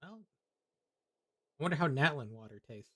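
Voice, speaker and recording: A man's voice: mid-range voice, lively intonation, moderate pace, husky. A man giving casual, off-the-cuff commentary while streaming a video game. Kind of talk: casual live gameplay commentary.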